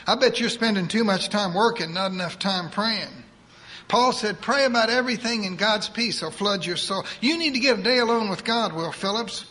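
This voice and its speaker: A woman's voice: mid-range voice, normal speed, a bit bright, voice pushed up, close to an everyday voice, poor audio. A man voicing a bossy, devout older woman, in a preachy sing-song manner. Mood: bossy chirpy.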